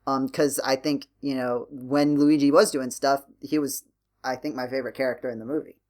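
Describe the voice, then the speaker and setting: A man's voice: mid-range voice, steady tone, a little fast. A man hosting a podcast, talking through his points casually in a rambling, analytical way. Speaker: podcast host.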